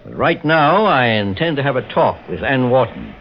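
A man playing a calm, courteous, authoritative private investigator in a mid-century radio drama, speaking in measured, deliberate phrases. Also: medium pitch. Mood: grave. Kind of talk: 1940s-50s radio drama acting. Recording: muffled old radio recording with hiss.

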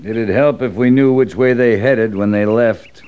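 A man's voice: on the low side, unhurried, clear, resonant tone, natural voice. A man playing a stern, weary, terse cavalry captain in a mid-century radio western. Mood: grim, impatient. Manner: terse, hard-bitten. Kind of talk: 1940s-50s radio western acting. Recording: dull, noisy old radio recording.

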